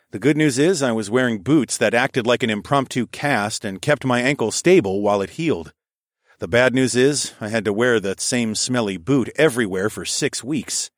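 A man's voice: mid-range voice, dry recording, studio quality, lightly acted. A man voicing a devoted, tender young hero in audiobook dialogue, earnest and soothing with a playful streak.

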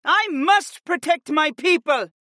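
Fierce hawk-woman warrior for fantasy audiobook, played by a man: high, defiant, emphatic shouts, protective. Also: expressive, takes it slowly, dry recording, exaggerated voice. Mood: defiant, urgent shouting. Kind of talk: dramatic audiobook character acting.

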